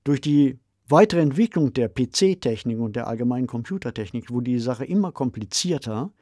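A middle-aged or older man co-hosting a podcast, talking in a measured, deliberate and articulate way. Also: mid-range voice, animated, dark tone, dry recording, clean studio sound. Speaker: podcast co-host. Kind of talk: conversational podcast discussion.

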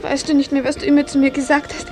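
A young woman playing a frightened, emotional bride in a German radio play, pleading and halting. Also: high voice, completely flat delivery, natural voice. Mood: desperate pleading. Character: frightened young bride clinging to her father.

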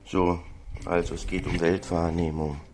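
Deep, creaky man musing over music. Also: flat delivery, moderate pace. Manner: musing, repeats and rephrases phrases. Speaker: radio essay narrator. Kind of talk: reflective spoken essay.

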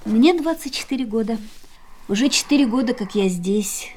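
An actress in a radio drama playing a wistful, war-scarred woman of twenty-four, world-weary, soft and melancholy in delivery. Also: on the high side, animated, normal speed, lightly acted. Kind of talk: radio drama acting, dialogue and monologue. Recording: studio radio play with music behind.

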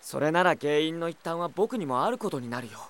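A lightly high, earnest young prince. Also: male voice, animated, brisk, a bit bright. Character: gentle, idealistic young prince, quietly resolute. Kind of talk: earnest anime-style audio drama acting.

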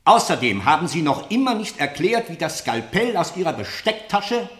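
An actor playing a stern, sceptical interrogator in a radio play, formal and insistent, with deliberate, pointed questioning. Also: male voice, fairly high pitch, big pitch swings, a bit bright, roomy sound. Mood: pressing, accusing. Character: stern, probing male interrogator, sceptical and relentless. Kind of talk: German radio play acting, interrogation drama.

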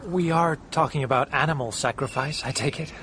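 An actor playing a downtrodden, listless grown son in a radio drama, with a deferential manner. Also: male voice, a little high, a little fast, a bit bright, a little hiss or hum, lightly acted. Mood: mildly questioning. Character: subdued, downtrodden adult son of a domineering mother.

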